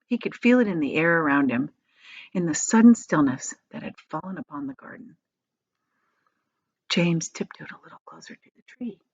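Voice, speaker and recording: A woman reading a children's story aloud as a teacher would, with expressive narration. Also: low-pitched, normal speed, breathy edge, dry recording, muffled recording.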